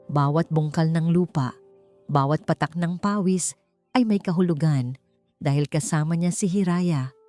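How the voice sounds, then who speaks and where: A woman reading a scripted romantic story as an audiobook narrator, in a measured delivery with deliberate pauses. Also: sits low, warm tone. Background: background music.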